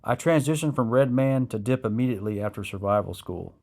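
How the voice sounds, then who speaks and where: A man's voice: medium pitch, lively intonation, brisk, creaky, darkish tone. A man reading a scripted first-person memoir of military training, in the style of an audiobook. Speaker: memoir author narrating his own military training.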